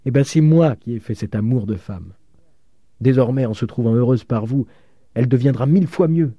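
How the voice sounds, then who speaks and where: A man voicing a doting elderly father who talks excitedly, his voice rising with emotion. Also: medium pitch, moderate pace, no echo, dull audio, pitched above the performer's own voice, natural voice. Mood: joyful.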